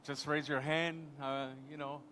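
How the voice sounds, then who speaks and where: A man's voice: a little high, level tone, measured pace, pushed and bright, slight background noise. A man from Quebec with a French-Canadian accent, a native French speaker, speaking as a panelist at a live conference and rambling enthusiastically with many restarts.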